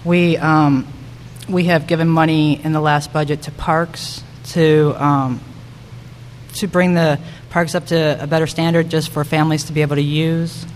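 Halting woman candidate in an echoing hall. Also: low-pitched, flat delivery. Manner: halting, searching for words. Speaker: city council member and candidate. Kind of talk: semi-improvised campaign remarks at a public forum. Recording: echoey hall with background noise.

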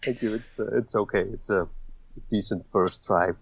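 Casting: man, low husky voice, dry close sound, halting podcast guest. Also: fairly even intonation, no echo, dull audio. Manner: hesitant, many fillers and restarts. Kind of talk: casual interview talk.

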